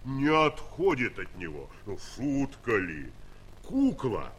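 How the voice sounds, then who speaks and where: Good-natured farmer dad, unhurried, lively intonation, homely, storybook audio play. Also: male voice, medium pitch, a little hiss or hum, heavily acted. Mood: proud, boastful. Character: good-natured giant farmer and proud father.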